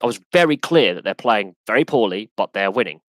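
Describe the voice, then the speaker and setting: A man with an English accent hosting a live sports podcast Q&A, talking in an animated, emphatic way that often restarts and repeats itself. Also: fairly low pitch, a little fast, dry recording. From England. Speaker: podcast host. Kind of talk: live Q&A rant.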